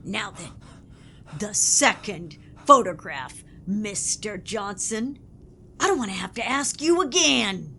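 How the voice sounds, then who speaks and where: A woman's voice: medium pitch, animated, takes it slowly, sharp tone, a little hiss or hum. A woman playing a pompous, accusatory interrogator in a theatrical comedy sketch. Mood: commanding. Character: pompous, melodramatic interrogator grilling a picnicker. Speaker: comedy podcast sketch performer.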